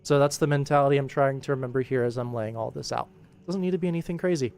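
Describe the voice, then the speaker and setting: A man's voice: medium pitch, fast talker, gravelly, darkish tone, faint music behind. A man hosting a casual work livestream as an animation director, explaining informally and thinking aloud. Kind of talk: casual livestream commentary while working.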